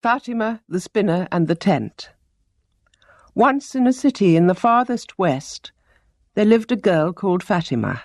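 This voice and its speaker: A woman's voice: medium pitch, lively intonation, measured pace, a little mellow, no echo. Woman storyteller in a dry, close recording. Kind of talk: scripted narration of teaching tales.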